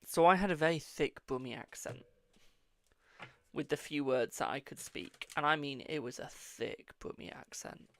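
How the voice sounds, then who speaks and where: Chatty English tarot host, gravelly voice. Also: medium pitch, moderate pace, fairly bright tone, no room sound. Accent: English, posh-sounding. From England. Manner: chatty, swears freely, calls viewers "my darling". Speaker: tarot reader hosting a live stream. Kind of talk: live-stream card reading and casual chat.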